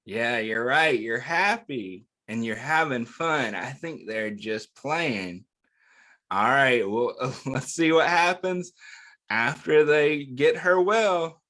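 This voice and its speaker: A man's voice: mid-range voice, slow, bright, forceful tone. A man hosting children's storytime, reading a picture book aloud and talking directly to young listeners with simple, encouraging questions. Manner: talks directly to young listeners, asking questions and answering them.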